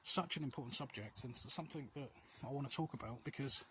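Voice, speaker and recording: A man chatting casually and confessionally while out walking, hesitant, rambling and self-deprecating, recorded outdoors on a quiet street. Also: mid-range voice, fast talker, crowd noise. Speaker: vlog host. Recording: noisy outdoor recording with clicks.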